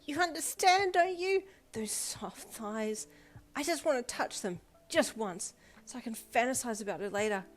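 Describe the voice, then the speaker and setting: A woman voicing a lecherous adolescent boy with a screeching, high-pitched voice. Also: lively intonation, moderate pace, soft background music, close to an everyday voice. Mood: wheedling whine. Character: lecherous shrill adolescent boy, frantic and pleading.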